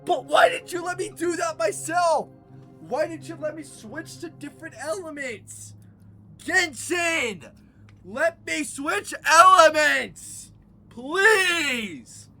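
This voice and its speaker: A gamer giving let's play commentary, excitable, ranting at the game and making laser sound effects. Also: sits high, slow. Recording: voice over loud game music. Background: game music.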